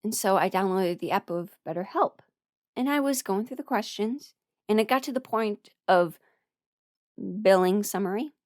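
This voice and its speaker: A young adult woman talking frankly and haltingly in a candid personal ramble, often quoting her own thoughts as she goes. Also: medium pitch, dry recording, studio quality. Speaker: voice performer sharing a personal ramble.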